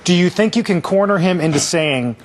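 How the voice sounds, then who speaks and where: A man's voice: sits high, animated, fairly quick, slight background noise. A man interviewing a guest on TV, asking in a loose, casual way with restarts. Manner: casual, asks with restarts and fillers. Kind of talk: TV news interview.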